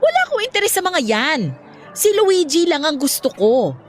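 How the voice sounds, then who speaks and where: A woman's voice: high-pitched, big pitch swings, normal speed, soft background music. A woman with a Filipino accent playing a playful but firm middle-aged mother in a radio drama. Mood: firm, pleading.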